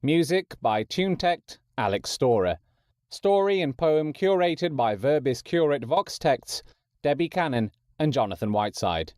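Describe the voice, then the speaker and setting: A man's voice: on the high side, animated, a little slow, a little mellow, no echo, clean studio sound. A man presenting a podcast, reading out scripted production credits.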